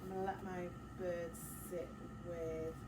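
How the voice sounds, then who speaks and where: A woman's voice: on the low side, level tone, a little slow. A woman primary school teacher leading an interactive story-time lesson, asking questions and explaining things simply to young children. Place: echoey classroom with children. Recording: noisy echoey room, distant mic.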